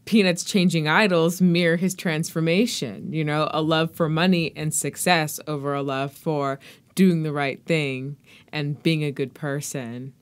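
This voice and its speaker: A woman with a notably low voice speaks as an interviewer and host. Her delivery is conversational, in the manner of a literary discussion. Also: fairly even intonation, unhurried.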